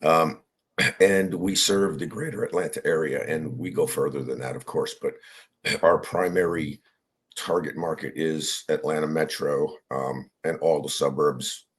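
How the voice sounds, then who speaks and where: A man in his sixties from New Jersey, a business owner talking casually in an interview while fighting a head cold and often clearing his throat. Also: a bass voice, a little slow. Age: 60s.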